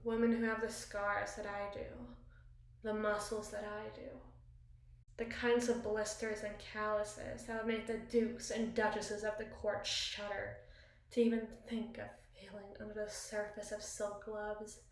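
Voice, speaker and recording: A woman playing a battle-scarred, commanding evil queen in an intimate ASMR roleplay, speaking formally and wistfully with deliberate, theatrical pauses. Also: mid-range voice, monotone, lightly acted. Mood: proud, reflective. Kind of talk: ASMR roleplay, slow dramatic monologue. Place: small bathroom, indoors. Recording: echoey tiled bathroom.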